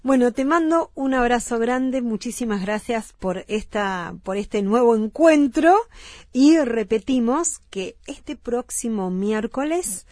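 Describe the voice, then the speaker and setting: A woman from Uruguay with a Rioplatense accent, hosting a radio interview in a lively, slangy way and repeating event details. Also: a little high, slow pace, no echo. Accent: Rioplatense Spanish. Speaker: radio host. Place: radio studio. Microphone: studio mic.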